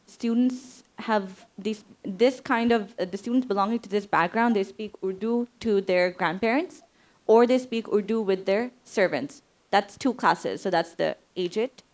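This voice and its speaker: A woman, a teacher speaking earnestly and off the cuff in a panel discussion. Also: mid-range voice. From Pakistan.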